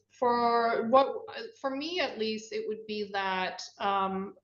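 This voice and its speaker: A woman co-hosting a casual podcast, chatty and animated, laughing easily. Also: a little high. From Brazil. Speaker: podcast co-host. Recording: roomy, dull lo-fi sound.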